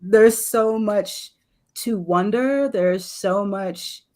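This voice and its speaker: A woman's voice: medium pitch, very slow, darkish tone. A woman answering as a guest in a casual conversation, reflective and searching as she tentatively works out her thoughts. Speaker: poet and professor, guest. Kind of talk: casual, unscripted interview answer.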